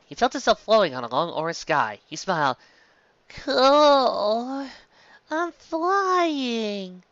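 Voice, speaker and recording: A woman's voice: low voice, fairly bright tone, no echo, highs cut off. A woman reviewer reading fan fiction aloud with comic asides, theatrical and exclamatory.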